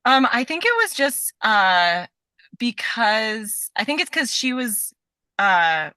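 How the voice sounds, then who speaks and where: Woman, bright crisp-edged tone, dry recording, casual chat, halting phrasing, lots of "like". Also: fairly high pitch, big pitch swings, dry recording. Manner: halting, filler-heavy with frequent "like". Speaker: podcast guest, voice actor.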